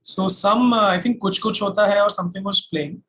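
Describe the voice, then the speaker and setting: A man's voice: high-pitched, steady tone, fairly quick, a bit bright. A young man with an Indian accent, chatting casually and telling stories as an interview guest. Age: young adult. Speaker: singer-songwriter guest.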